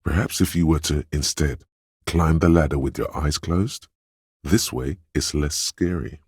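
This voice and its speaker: An actor voicing a suave, theatrical inner voice in a video game, narrating in a measured, wry way with a gravelly, husky tone. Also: male voice, rumbling low, darkish tone, no echo, studio quality, heavily acted. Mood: dry, advising. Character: suave, theatrical inner voice of a detective's flair and agility. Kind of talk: scripted second-person game narration.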